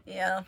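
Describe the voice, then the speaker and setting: A young woman from the United States, chatting casually and giggling as she rambles to viewers on a livestream. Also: a bit bright.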